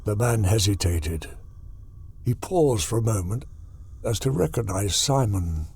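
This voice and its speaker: Slow, gravelly male horror narrator. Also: on the low side, lively intonation, darkish tone. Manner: deliberate, storytelling. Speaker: audiobook narrator. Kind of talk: scripted dramatic horror narration.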